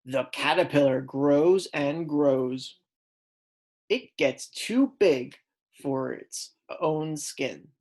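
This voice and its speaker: Female kindergarten teacher, low register, unhurried read-aloud for small children, deliberate emphasis on key words. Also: darkish tone.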